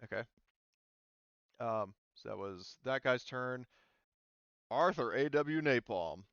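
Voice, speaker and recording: A man's voice: medium pitch, animated, slow, fairly bright tone, clear, resonant tone, no room sound, dull audio. A man running a tabletop roleplaying game as game master, casually explaining rules.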